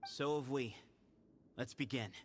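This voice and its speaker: A man's voice: medium pitch, measured pace, noisy background, natural voice. A man voicing a cool, self-assured young duelist in a video game, speaking casually. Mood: cool, confident. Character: confident, cool young male challenger, respectful grandson. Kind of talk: video game cutscene acting.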